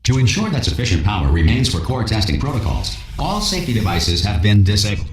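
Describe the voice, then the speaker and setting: An actor playing a pompous, blithely reassuring corporate announcer delivering formal pre-recorded safety messages in a science-fiction video game. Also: male voice, fairly low pitch, very animated, quick pace, echoey room, background noise. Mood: upbeat, formal. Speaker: test facility announcer. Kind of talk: scripted public-address announcement.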